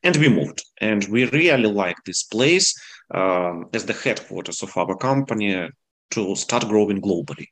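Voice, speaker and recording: Ukrainian-accented startup founder, earnest. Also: male voice, fairly low pitch, animated, a bit bright, rough recording. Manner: earnest, halting non-native phrasing. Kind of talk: interview answers.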